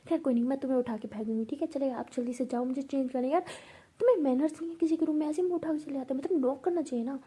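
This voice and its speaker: Woman acting husky, talkative, scolding young woman. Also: high voice, very fast, few pauses, mellow. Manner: rambling, teasing, stammers when flustered.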